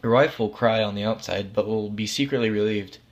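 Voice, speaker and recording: A man's voice: lowish voice, brisk, highs cut off, close to an everyday voice. A man voicing God in a story as a casual, reassuring authority figure who explains things patiently and matter-of-factly. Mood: calm, reassuring. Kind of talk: acted storybook dialogue.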